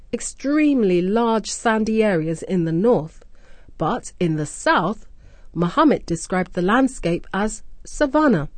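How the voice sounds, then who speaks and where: A woman radio presenter hosting a scripted language-learning programme, explaining words and phrases clearly and deliberately. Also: medium pitch, a little mellow, no echo. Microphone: close studio mic.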